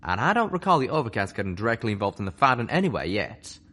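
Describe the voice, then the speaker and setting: A man's voice: lowish voice, sing-song, quick pace, fairly bright tone, pitched above the performer's own voice. A man doing a folksy drawling pegasus stallion. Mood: stern. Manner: drawling, rough folksy speech. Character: stern, folksy male pegasus scout.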